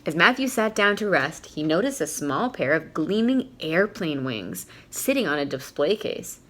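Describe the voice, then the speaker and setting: A woman reading a picture book aloud to young children in an animated, teacherly way. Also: low-pitched, normal speed. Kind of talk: expressive children's read-aloud.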